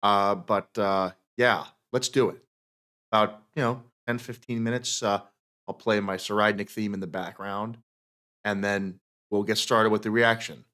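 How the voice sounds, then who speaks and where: A man's voice: lowish voice, steady tone, bright, forceful tone. Man with a cold hosting a stream. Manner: loose, rambling talk with halting starts. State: has a cold, rough voice. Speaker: channel host. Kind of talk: casual stream intro.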